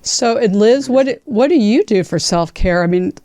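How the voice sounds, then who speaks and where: Woman host, higher voice, dry close sound, eager and encouraging. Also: expressive, moderate pace, dark tone, dry recording. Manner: enthusiastic, encouraging. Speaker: show host. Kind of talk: hosting a casual panel chat.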